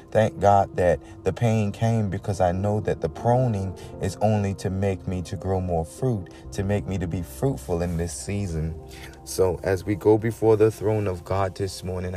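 A man's voice: low-pitched, dark tone. A man from the Bahamas, praying and exhorting earnestly as a preacher, often punctuating his words with amens. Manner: earnest, punctuated with "amen" and repeated invocations. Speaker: preacher leading a morning prayer. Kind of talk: devotional talk and extemporaneous prayer.

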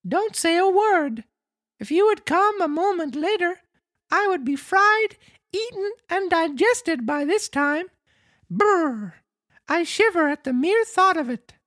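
A woman's voice: animated, slow pace, a bit bright, no room sound, clean studio sound, full character voice. A woman voicing a dramatic young wooden boy puppet in a storybook reading. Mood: frightened. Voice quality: squeaky. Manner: exclamatory, theatrical storybook delivery.

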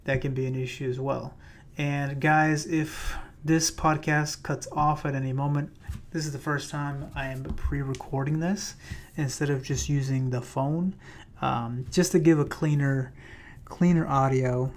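A man hosting his own podcast in a loose, chatty way with many fillers, his voice a little raspy. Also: mid-range voice, fairly even intonation, measured pace. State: slightly raspy voice. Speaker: podcast host and realtor. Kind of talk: casual solo podcast talk. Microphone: plugged-in microphone.

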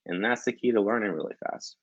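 Male guest, low voice, thoughtful and musing, dull lo-fi audio. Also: quick pace, a bit bright, slightly rough, no echo. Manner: musing, thoughtful, trailing restarts. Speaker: podcast guest. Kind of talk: casual interview chat.

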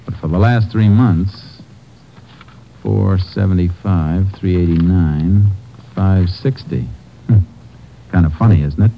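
An actor playing a shrewd, steady lawman in a 1950s radio drama, questioning people in a calm, dry, probing way. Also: low-pitched, slow pace, creaky, pauses often, heavily acted. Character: shrewd, steady Texas Ranger investigating a murder. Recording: scratchy lo-fi old radio broadcast.

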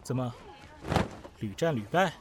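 Man acting an aloof, bossy housemate, lively pitch. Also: a little slow, background noise, close to an everyday voice. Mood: dry teasing. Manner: curt, commanding. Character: aloof, bossy, fastidious young male housemate. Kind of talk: Chinese audio drama acting.

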